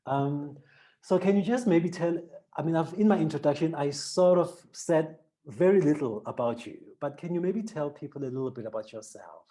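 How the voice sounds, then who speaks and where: South african guy interviewing a novelist, warm low-key voice, lots of restarts. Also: animated, normal speed. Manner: reads intro, then asks questions with restarts. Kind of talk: book interview.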